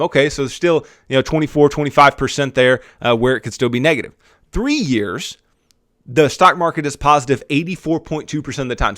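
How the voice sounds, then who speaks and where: A man's voice: mid-range voice, fairly bright tone, no echo, studio quality. A man hosting a finance podcast, explaining money topics in a conversational monologue that keeps checking in with "right?" and "okay?". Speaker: podcast host.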